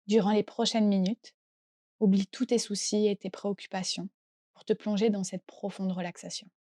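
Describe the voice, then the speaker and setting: A woman gently and calmly guiding a relaxation meditation as a yoga nidra teacher, unhurried and soothing in her delivery. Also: medium pitch. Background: soft background music.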